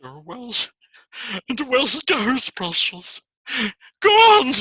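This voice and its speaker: An actor playing a wretched male creature who argues with himself, hissing and wheedling in a raspy whisper, turning to snarls. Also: very high voice, huge pitch swings, slow pace, sharp tone, no room sound, heavily acted. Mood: quarreling. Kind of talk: film acting.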